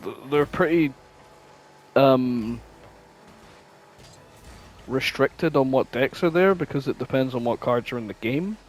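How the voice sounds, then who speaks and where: A man's voice: medium pitch, unhurried, pauses often. A man co-hosting a podcast, chatting casually and informally. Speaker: podcast co-host.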